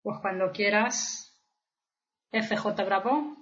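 A woman's voice: medium pitch, slow pace, fairly bright tone, muffled recording. A woman hosting a radio show who encourages her guest in an upbeat way. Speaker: radio host. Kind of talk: radio chat.

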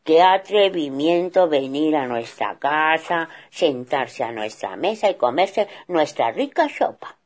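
A big papa bear blusters in loud indignation, as a woman puts him on. The voice stays breathy and lively. It sounds like a children's storybook reading. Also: fairly low pitch, measured pace, voice pushed up, exaggerated voice. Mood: angry. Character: big papa bear, gruff and easily angered.

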